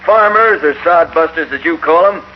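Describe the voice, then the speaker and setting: An actor playing an assertive, self-interested businessman in measured tones, in a 1950s radio western. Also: fairly high pitch, lightly acted. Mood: firm. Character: smooth-talking, self-interested water company businessman. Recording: muffled vintage radio recording with faint noise.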